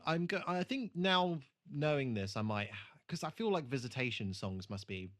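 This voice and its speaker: A man's voice: medium pitch, expressive, normal speed, fairly bright tone. A man co-hosting a podcast in casual chat, hesitant and given to swearing. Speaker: podcast co-host. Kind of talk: casual chat, re-watch commentary.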